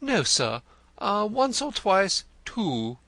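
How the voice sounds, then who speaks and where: A man's voice: sits high, huge pitch swings, slow, a bit bright, dry recording, pitched above the performer's own voice, full character voice. A man voicing a vain, theatrical male poet in an audiobook, florid and gushing, stammering when pressed. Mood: effusive. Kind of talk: audiobook character reading.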